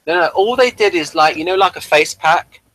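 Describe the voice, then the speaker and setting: A man hosting a casual review show, explaining things in a halting, conversational way. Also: high voice, animated, fairly bright tone, dry recording, rough recording.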